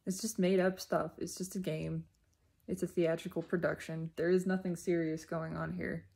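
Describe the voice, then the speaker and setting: Low, creaky-voiced woman reflecting aloud. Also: level tone, a little mellow. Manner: deliberate, halting phrases with emphatic repetition. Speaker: speaker sharing personal spiritual reflections. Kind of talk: unscripted reflective spiritual monologue.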